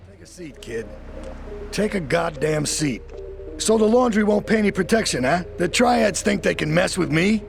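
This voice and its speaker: An actor playing a hot-tempered mafia capo, gruff and profane. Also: male voice, sits high, animated, moderate pace, faint music behind, heavily acted.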